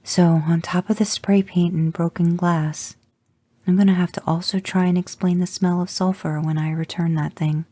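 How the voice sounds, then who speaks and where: A reader voicing a flustered, protective mother in an audio story, exclaiming and scolding in a raised, higher voice. The tone has a husky, gravelly grain. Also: little pitch movement, normal speed, dark tone, dry recording, close to an everyday voice. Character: mother driving, anxious and protective.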